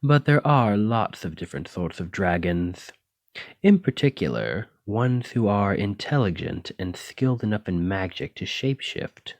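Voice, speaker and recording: Dragon-blooded male librarian played by a man for ASMR romance: low voice, intimate, teasing, smitten and possessive, very dry close sound. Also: lively intonation, a little slow, dry recording. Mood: amused, explaining. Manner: intimate, teasing, uses pet names.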